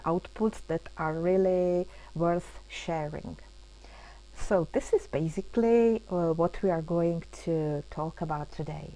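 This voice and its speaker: A woman hosting an online webinar in English, speaking haltingly with many fillers and restarts over a computer mic on a video call. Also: on the low side, mellow, rough recording. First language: Czech. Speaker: webinar host and project coordinator. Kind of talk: hesitant opening of an online webinar.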